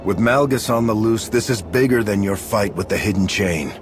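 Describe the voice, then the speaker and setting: Deep, serious military leader voiced by a man. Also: moderate pace, lightly acted. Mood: firm. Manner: direct, serious. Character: principled male military leader, firm but caring. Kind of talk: video game cutscene acting. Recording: loud music behind. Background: music.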